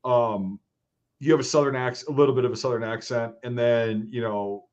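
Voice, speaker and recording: A man with a Northern Midwest accent, thinking out loud in a casual conversation. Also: mid-range voice, steady tone, normal speed. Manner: rambling, restarts, thinking aloud. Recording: dull lo-fi sound.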